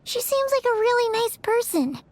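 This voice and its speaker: Game sidekick: tiny cheeky fairy, extremely high, squeaky, swooping childlike delivery, loud music behind. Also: female voice, big pitch swings, moderate pace, a little hiss or hum. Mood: chatty, wistful. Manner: squeaky, refers to herself in third person. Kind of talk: video game cartoonish voice acting.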